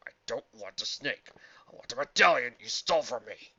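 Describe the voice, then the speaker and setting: A man voicing an angry, impatient adventurer archaeologist in a storybook, gruff and demanding. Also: fairly low pitch, moderate pace, bright, forceful tone, highs cut off.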